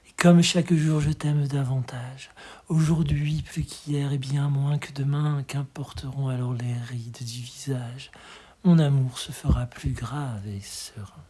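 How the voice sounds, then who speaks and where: A hushed, breathy voice giving an expressive, tender recitation of poetry in a measured way. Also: mid-range voice. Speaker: poetry reader.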